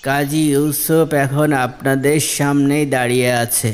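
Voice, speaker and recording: Man playing a slow-spoken, solemn old judge. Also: medium pitch, steady tone, clear, resonant tone, lightly acted. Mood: solemn, firm. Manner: slow, solemn, measured delivery. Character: wise, humble elderly male judge, patient and principled. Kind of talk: Islamic moral cartoon dialogue.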